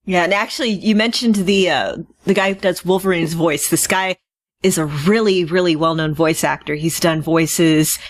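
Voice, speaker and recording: A woman's voice: on the low side, normal speed, no echo, studio quality. A woman co-hosting a podcast in casual conversation. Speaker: podcast co-host. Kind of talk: casual chat.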